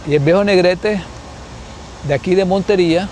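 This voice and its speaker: A man's voice: high voice, a little mellow, a little hiss or hum. Older Colombian man recalling his childhood. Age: 70s. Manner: unhurried, thinking aloud with restarts and tag questions. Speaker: guest sociologist. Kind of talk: reminiscing interview answers.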